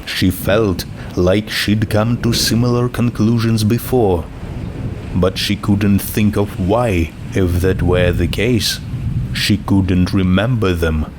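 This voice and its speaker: A man's voice: on the low side, steady tone, takes it slowly, background noise. A man reading a story aloud as a scripted narration. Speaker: fan fiction reader.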